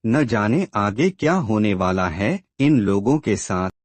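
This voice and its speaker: A man playing an ailing, gentle old father in a cartoon moral story, earnest and emotive. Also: lowish voice, expressive, slow, no echo. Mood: worried.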